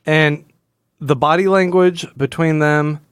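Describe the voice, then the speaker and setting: Clear-voiced man, casual podcast chat. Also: on the high side, fairly even intonation, takes it slowly, no room sound. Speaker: podcast host. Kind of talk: casual comic book analysis chat.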